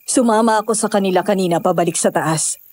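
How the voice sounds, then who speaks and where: A woman's voice: medium pitch, fast, a little mellow, no echo, natural voice. A woman playing a knowing, solemn villager who explains and cautions in a horror audio drama. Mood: solemn, explaining.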